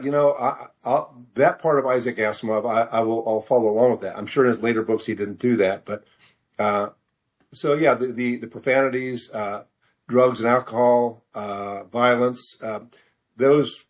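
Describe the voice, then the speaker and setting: An older man answering interview questions as a guest author, speaking in a hesitant, halting, rambling way. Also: medium pitch. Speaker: novelist guest being interviewed.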